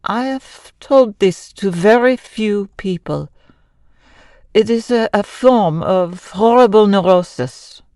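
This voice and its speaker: A woman playing a grieving, sorrowful Frenchwoman in an old-time radio drama, speaking formally and haltingly. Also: medium pitch, a little mellow, no room sound, studio quality. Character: grieving Frenchwoman, wife of a mad composer, sorrowful.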